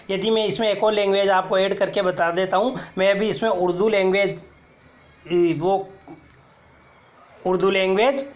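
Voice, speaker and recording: A man explaining phone keyboard settings step by step, as a tutorial presenter who keeps calling viewers friends and pointing out each screen. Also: sits high. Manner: step-by-step, addresses viewers as friends, repeats "look here". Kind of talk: screen-recorded how-to explanation.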